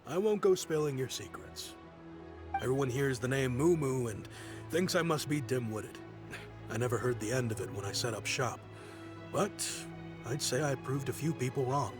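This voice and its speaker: An actor playing a proud yet kindly merchant in a video game, speaking in a friendly, reflective, softly rueful way. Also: male voice, medium pitch, normal speed, natural voice. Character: nostalgic grown-up male merchant, proud but kindly. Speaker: businessman. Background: game music.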